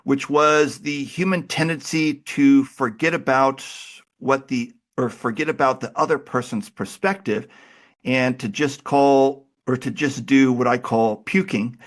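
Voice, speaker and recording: Chatty male podcast host with a cold. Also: medium pitch. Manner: relaxed, chatty, self-deprecating. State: has a cold. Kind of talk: casual interview chat.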